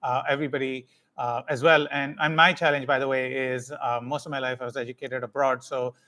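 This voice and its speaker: A man's voice: medium pitch, flat delivery, brisk, bright, forceful tone. A middle-aged man, a company director, giving a live talk to parents, switching between English and Hindi with many fillers and checking "right?". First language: English first, Hindi second. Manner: mixes English and Hindi, frequent fillers, tag questions. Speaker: ed tech company managing director. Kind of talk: live session talk to parents, answering questions.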